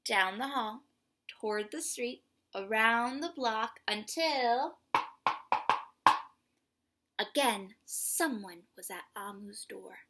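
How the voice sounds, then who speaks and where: A woman reads a picture book aloud as a children's storyteller. Her voice is bright and fairly high, and she takes her time. She stretches out words and slips in hushed asides to the listener. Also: expressive, takes it slowly, long pauses, a little hiss or hum.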